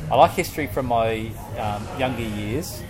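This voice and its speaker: A man's voice: medium pitch, normal speed. Joking male podcast co-host over music. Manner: loose, joking, swears casually. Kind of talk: casual chat, reading out a guest's written answers. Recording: music playing underneath.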